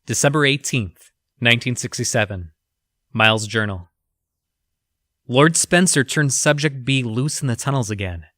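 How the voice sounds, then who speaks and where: An actor playing an educated, haunted research scientist, reading his journal for an audio drama in a formal, measured, clinical manner. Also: mid-range voice, lively intonation, bright, forceful tone, no room sound, studio quality. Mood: grim, uneasy.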